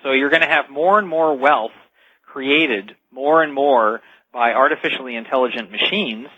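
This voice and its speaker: A man's voice: medium pitch, normal speed, breathy edge, no echo. A man speaking as an expert guest in a radio interview, explaining earnestly and emphatically, repeating key phrases. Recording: dull, dry lo-fi radio audio.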